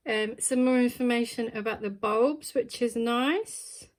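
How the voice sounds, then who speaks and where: A woman's voice: on the high side, a little slow. Chatty woman unboxing plants. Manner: chatty, thinking aloud with many ums. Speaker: plant vlogger. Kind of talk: casual unboxing chat.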